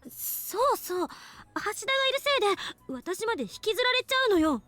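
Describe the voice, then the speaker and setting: A woman's voice: extremely high pitch, very animated, rapid-fire, sharp tone, no echo, faint music behind, heavily acted. A woman voicing a prickly, defensive, brainy young woman in a Japanese visual novel, with a curt, huffy manner. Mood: flustered. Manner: curt, tsundere huffiness.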